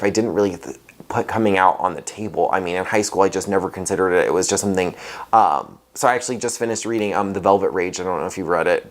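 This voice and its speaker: A young man in his twenties from Hawaii, talking in a casual oral history interview, often pausing with fillers and restarting. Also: low-pitched. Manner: hesitant, many um fillers and restarts.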